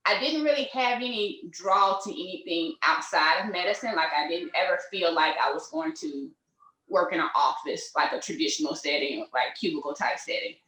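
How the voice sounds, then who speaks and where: Low-voiced woman, echoey room. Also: moderate pace. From Mississippi. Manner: relaxed storytelling with many ums and you-knows. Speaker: pediatrician, interview guest. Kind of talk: casual interview conversation.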